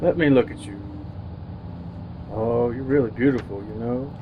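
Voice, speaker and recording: A man's voice: mid-range voice, normal speed, phone-quality sound, background noise, natural voice. An actor playing an earnest, jaded young ad man who narrates his misadventures in old-time radio drama style. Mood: tender, earnest.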